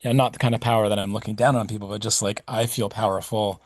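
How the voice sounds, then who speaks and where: A man's voice: lowish voice, fairly even intonation, fast talker, slightly rough, no echo, studio quality. A middle-aged man speaking as a podcast guest, reflective and rambling with plenty of fillers. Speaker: podcast guest, punk musician. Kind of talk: casual interview talk.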